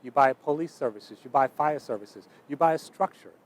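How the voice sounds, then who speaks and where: A man with a mid-pitched voice talks as an interview subject, explaining ideas with emphasis. He builds his points by repeating phrases in measured lists. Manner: emphatic, uses repetition in lists. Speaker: interviewed speaker. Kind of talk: explanatory talk in a documentary interview.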